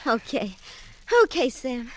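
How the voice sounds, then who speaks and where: An actress playing a naive, anxious young wife in 1940s radio style, with a hesitant, stammering manner. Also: female voice, a little high, slow pace. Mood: meek, chatty.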